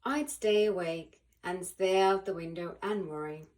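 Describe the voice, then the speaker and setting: A woman reading a children's picture book aloud in an animated, expressive way, with dramatic pauses and emphasis. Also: lowish voice. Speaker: volunteer children's book reader.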